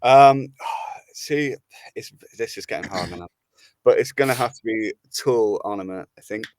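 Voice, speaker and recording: A man, a band member on a podcast, telling stories in a relaxed way with some fillers. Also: fairly low pitch, unhurried, no echo. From United Kingdom. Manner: relaxed storyteller, hesitant fillers. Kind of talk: casual chat.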